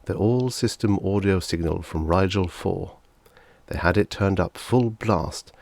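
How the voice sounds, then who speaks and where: A man's voice: low-pitched, unhurried, vocal fry, darkish tone, no room sound. A man narrating fiction as a scripted audiobook reader.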